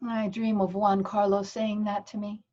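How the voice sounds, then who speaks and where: A woman playing a gentle, wistful nun with a cheeky streak in a comedy play table read, hesitant and teasing. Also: mid-range voice, flat delivery, moderate pace, muffled recording, close to an everyday voice.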